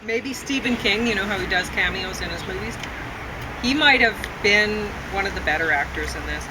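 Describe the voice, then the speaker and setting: Female casual reviewer, average pitch, unscripted and rambling, recorded with noticeable vehicle ambience. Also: animated, moderate pace, noisy background. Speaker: film reviewer.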